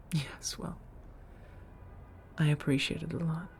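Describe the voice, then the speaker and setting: Girl doing an old vampire lady roommate for asmr, low soft voice, careful formal wording, gentle but guarded. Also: creaky, slight background noise, exaggerated voice. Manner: measured, formal wording with endearments, hesitant confessions. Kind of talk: soft-spoken ASMR roleplay.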